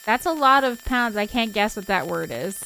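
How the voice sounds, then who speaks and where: A woman's voice: mid-range voice, animated, normal speed, gravelly, noisy background. A woman streaming a visual novel, reading it aloud in a casual voice and chatting with informal, giggly asides. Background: game soundtrack music.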